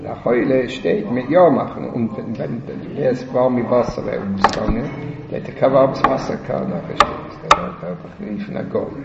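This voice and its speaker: A man leading a religious text study discussion, repeating words in stammering bursts and reciting passages rapidly. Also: medium pitch, gravelly, a loud crowd. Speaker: study session leader. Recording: roomy, dull recording with people around.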